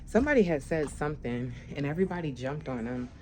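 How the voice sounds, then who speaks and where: Low-voiced blunt woman, noisy room. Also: quick pace. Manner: blunt, animated, talks straight to her viewers. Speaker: vlogger. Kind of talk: candid talking-to-camera chat. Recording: room noise behind the voice.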